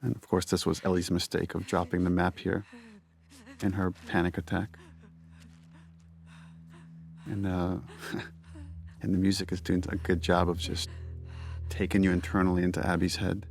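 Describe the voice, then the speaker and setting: A man's voice: low voice, level tone, moderate pace, gravelly. A man giving casual behind-the-scenes commentary as a game developer.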